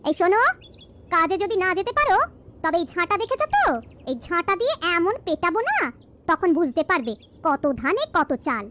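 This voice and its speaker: A woman voicing a sharp-tongued, exasperated village housewife in a cartoon. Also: extremely high pitch, big pitch swings, fairly quick, background noise. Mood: scolding. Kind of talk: cartoon voice acting.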